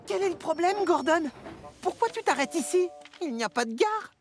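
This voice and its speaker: A woman's voice: extremely high pitch, lively intonation, normal speed, music in the background. A woman voicing a friendly, helpful, curious little male train engine in a children's cartoon dub. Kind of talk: children's animated series dub.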